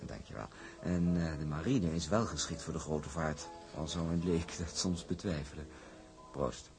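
A man's voice: very deep voice, lively intonation, moderate pace, music in the background. An actor playing a smug, domineering shipping tycoon in a drama, talking in a hearty, condescending way to his sweetheart. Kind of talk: acted period drama dialogue.

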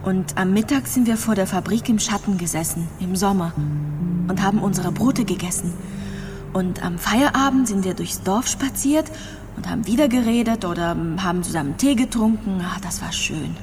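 An actress playing a gravely ill, resigned immigrant woman in a radio drama, speaking simply and dreamily. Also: medium pitch, normal speed, over music, natural voice. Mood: nostalgic, bleak. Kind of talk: radio drama dialogue and monologue.

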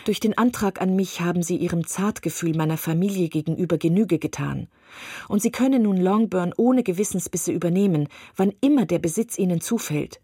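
A woman's voice: on the low side, a little fast, mellow, breathy edge, no echo, clean studio sound. A woman voicing a spirited young woman who firmly and precisely refuses a suitor, in an audiobook. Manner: firm, exasperated, precise. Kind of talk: audiobook reading of a classic novel.

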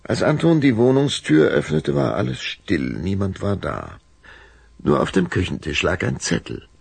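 A man narrating a children's radio play in an expressive, suspenseful storytelling style. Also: lowish voice, normal speed, vocal fry, husky, no echo.

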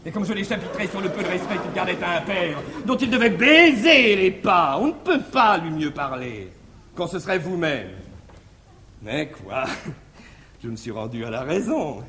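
An actor playing a sly, cheeky manservant in classical French stage comedy, exclamatory and mock-deferential. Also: male voice, high-pitched, very animated, moderate pace, sharp tone, exaggerated voice. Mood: slyly placating. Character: sly, cheeky young manservant schemer, theatrical trickster. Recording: echoey 1960s theatre stage recording.

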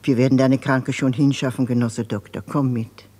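An actress playing an anxious, outspoken working-class mother with a husky voice in a 1950s German radio drama. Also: very deep voice, fairly even intonation, moderate pace, warm tone. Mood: agitated, bitter.

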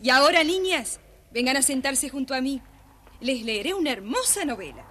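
High-voiced, kindly storybook mother. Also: lively intonation, normal speed. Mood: caring, instructing. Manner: caring, gently commanding. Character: kind, hospitable widowed mother of two girls. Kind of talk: dramatized children's storybook record. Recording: faint noise, old children's story record.

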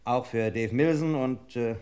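A man's voice: mid-range voice, unhurried, a little mellow, clear, resonant tone, close to an everyday voice. An actor playing an eager, hot-headed police assistant, deferential to his chief, in a German radio crime drama. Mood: keen.

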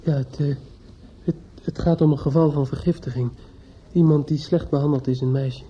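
A man's voice: a little high, fairly even intonation, normal speed, slightly rough. An actor playing a distraught, desperate young man in a radio play, hesitant and stammering as he speaks. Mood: agitated, pleading. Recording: muffled old radio play recording.

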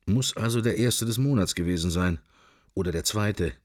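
A man's voice: on the low side, moderate pace, dry recording, studio quality, close to an everyday voice. An actor playing a middle-aged man musing wryly to himself in clipped, wandering thoughts in a literary radio play. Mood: irritated, distracted.

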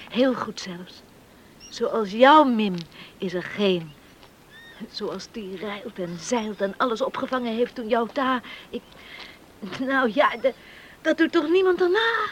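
An actress playing a timid, loving young village sweetheart in a Dutch radio play, soft and hesitant. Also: female voice, medium pitch, expressive, slow pace, slight background noise. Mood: admiring. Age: young adult.

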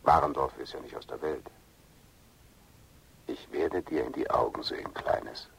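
Radio drama seducer, extremely deep, gravelly, breathy, insistent, tender with menace. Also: male voice, a little slow, slight background noise, heavily acted, rough recording. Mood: insistent, seductive. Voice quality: breathy, gravelly, half-whispered. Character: smitten male seducer, self-assured, menacingly tender suitor.

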